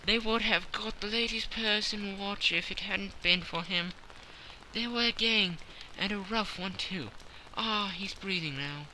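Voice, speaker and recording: A man voicing an agitated woman in a street crowd, in an audiobook, speaking in a high, breathless way. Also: unhurried, sharp tone, slight background noise, exaggerated voice, rough recording.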